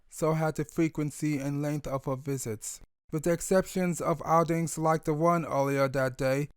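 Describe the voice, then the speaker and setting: A man narrating a scripted romantic audio story in a measured storytelling manner. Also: fairly high pitch, clean tone, no room sound, studio quality.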